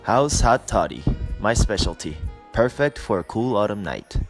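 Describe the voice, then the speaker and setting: A woman playing a smooth, unhurried bartender in a scripted audio drama. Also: a bass voice, big pitch swings. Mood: easygoing, inviting. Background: music.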